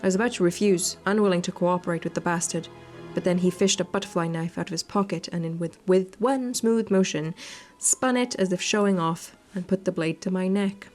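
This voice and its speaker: A woman's voice: lowish voice, brisk, hardly any pauses, a little mellow. A woman hosting a visual novel playthrough, narrating the text and adding casual commentary. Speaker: gaming video host. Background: game music.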